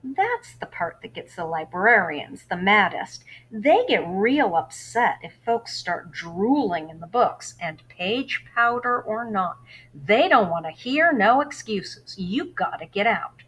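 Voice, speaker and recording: A woman's voice: medium pitch, very animated, slow, fairly bright tone, breathy edge, poor audio. A woman teacher reading a novel aloud to students, giving instructions in between and telling the story expressively. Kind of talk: read-aloud lesson for students.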